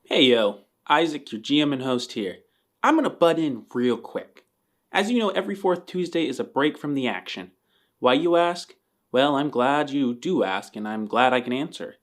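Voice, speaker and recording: A man's voice: mid-range voice, lively intonation, normal speed. A man speaking as a game master and podcast host, addressing the listener directly. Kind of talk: podcast announcement, then slow scripted scene narration. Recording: music under the voice.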